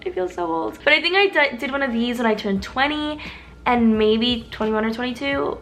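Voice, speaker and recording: A woman's voice: high-pitched, normal speed, creaky, a bit bright, soft background music. A woman in her twenties chatting casually to a small vlog camera indoors, rambling with lots of likes and restarts. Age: 20s. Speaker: beauty vlogger. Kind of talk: casual talking-head vlog. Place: indoors, with street noise outside.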